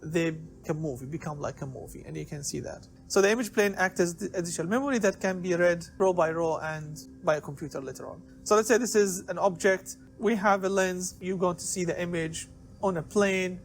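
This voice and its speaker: A man's voice: sits high, brisk, creaky, faint music behind. A man giving an informal explanatory lecture in a didactic way, posing questions and answering them himself. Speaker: lecturer in biomedical engineering.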